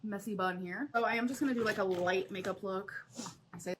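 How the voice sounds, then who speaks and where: A woman's voice: mid-range voice, brisk, fairly bright tone, slight background noise. A woman vlogger chatting casually while she does her makeup, rambling and full of likes. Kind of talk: casual makeup chat vlog.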